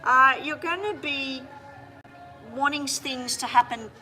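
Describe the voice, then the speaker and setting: A woman runs a live tarot stream and talks straight to her viewers, chatty and hurried. Her voice sits a little high, bright and forceful. Faint music plays behind her. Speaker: tarot reader.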